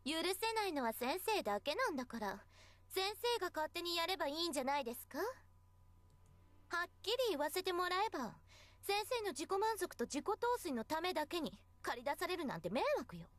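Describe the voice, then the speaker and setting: A woman's voice: very high-pitched, very animated, very fast, a bit bright, no echo, full character voice. A woman voice actor playing a haughty, scornful teenage schoolgirl in a Japanese visual novel, mocking and condescending.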